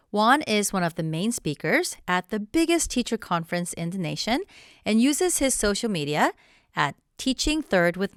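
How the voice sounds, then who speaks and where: A woman hosting an education podcast, reading an upbeat introduction and chatting with guests in a theater venue. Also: medium pitch. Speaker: podcast host. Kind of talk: scripted podcast intro then casual interview. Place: theater venue with crew setting up.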